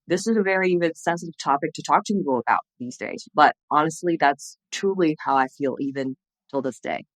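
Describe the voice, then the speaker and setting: A woman's voice: sits low, brisk, no echo, low-quality recording. A woman in her thirties who grew up speaking Chinese, talking with a Chinese accent as an interview guest on a video call, earnest and reflective with frequent restarts. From China. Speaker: guest, entrepreneur and former TV journalist. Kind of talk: casual interview conversation. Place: home room, indoors. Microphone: video call, webcam at desk distance.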